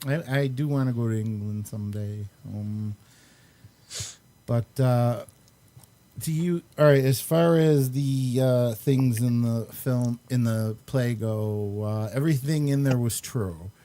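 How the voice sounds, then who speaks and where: A man hosting a radio show in a casual, unscripted way, with halting, hesitant phrasing. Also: medium pitch, warm tone.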